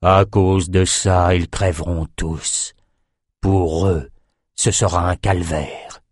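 A man voicing a brutal raider king who speaks in a slow, menacing drawl. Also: deep voice, little pitch movement, no room sound, studio quality.

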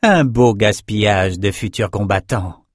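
A man's voice: lowish voice, measured pace, no room sound, clean studio sound. A man voicing a ruthless warrior woman who commands gladiators and barks imperious orders, in a storybook reading. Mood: stern, cold.

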